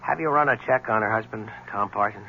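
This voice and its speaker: An actor playing a persistent newspaper reporter in a 1970s radio mystery, firing off clipped, probing questions. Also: male voice, fairly low pitch, brisk, natural voice. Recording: dull, noisy old radio broadcast.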